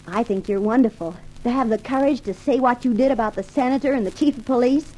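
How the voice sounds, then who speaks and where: A woman's voice: on the high side, fast talker, warm tone, close to an everyday voice, rough recording. A woman playing a devoted young bodyguard in a 1950s radio crime drama, soothing and matter-of-fact. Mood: earnest, admiring.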